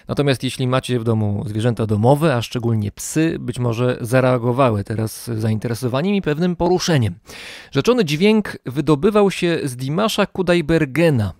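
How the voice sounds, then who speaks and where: A man's voice: mid-range voice, darkish tone, no room sound, studio quality. A man narrating and introducing as a podcast host in a scripted style.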